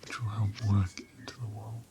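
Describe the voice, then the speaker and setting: A man playing a gentle, doting ram caretaker in an ASMR roleplay, speaking softly and tenderly and often slipping into a whisper. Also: on the low side, flat delivery, normal speed, heavy background noise, natural voice. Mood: gentle, reassuring. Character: gentle, doting male ram caretaker tending an injured lamb. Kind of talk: ASMR roleplay, soft half-whispered close speech.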